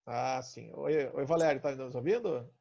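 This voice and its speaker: A man's voice: medium pitch, normal speed, gravelly, dark tone. A Brazilian man coaching in a conversational way on a live stream, explaining ideas and asking probing questions. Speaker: coach and trainer leading a live session. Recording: dull lo-fi online call audio.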